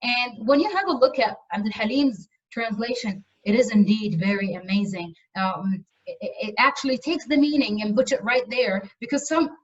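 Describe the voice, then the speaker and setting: A woman lecturing in English, her first language Arabic, who keeps stopping and restarting her sentences. Her voice sits fairly high. The recording is muffled and she sounds well away from the microphone in a lecture room. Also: normal speed, far from the mic. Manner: hesitant, many fillers and restarts. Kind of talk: university lecture on translation.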